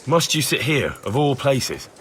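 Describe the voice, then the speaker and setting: A man's voice: mid-range voice, very animated, measured pace, slight background noise. A young man voicing an eager, earnest trainee town guard in a medieval video game, speaking plainly. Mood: stern. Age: young adult. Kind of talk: dramatic game cutscene dialogue.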